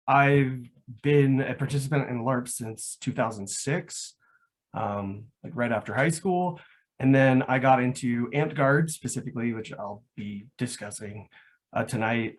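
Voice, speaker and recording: Slightly husky man in his thirties. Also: mid-range voice, rough recording. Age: 30s. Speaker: panel guest. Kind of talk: casual self-introduction.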